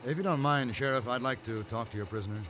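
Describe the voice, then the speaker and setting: An actor playing an urbane, courteous gentleman gunfighter with dry wit, in 1950s radio western style. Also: medium pitch, fast, background noise, close to an everyday voice. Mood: polite, wry. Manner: urbane, courteous, dryly witty. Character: suave, composed gentleman gunfighter for hire. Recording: vintage radio recording with music.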